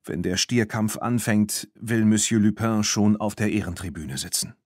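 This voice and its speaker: A man's voice: on the low side, moderate pace, darkish tone, no echo, clean studio sound, lightly acted. A man voicing an old, downtrodden horse herder in a storybook, apologetic and hesitant. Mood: confessing.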